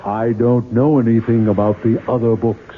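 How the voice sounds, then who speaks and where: Man playing a bitter writer, curt, dismissive, weary, medium pitch, narrow tinny broadcast sound, hiss, vintage radio drama. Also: level tone, normal speed, close to an everyday voice. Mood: dry, dismissive. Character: weary, bitter male novelist haunted by his own creation. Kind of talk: 1970s radio drama acting. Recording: muffled, noisy 1970s radio broadcast recording.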